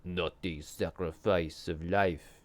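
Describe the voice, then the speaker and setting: A man's voice: deep voice, steady tone, takes it slowly. A man voicing a group of cruel, mischievous imps who talk in a sneering, demanding way. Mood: smug.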